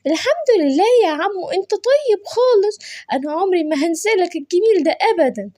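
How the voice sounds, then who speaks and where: Lady doing a naughty little lion cub boy, super high whiny voice, egyptian arabic, kids cartoon, very dramatic. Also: expressive, fast talker, mellow. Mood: grateful. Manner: childish, exclamatory, dramatic. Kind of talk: children's cartoon story voice acting.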